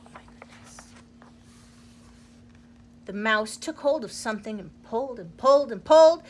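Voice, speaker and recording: Woman, children's storybook narration, high pitched, expressive, deliberate phrasing, faint background noise. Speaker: storyteller reading aloud to children.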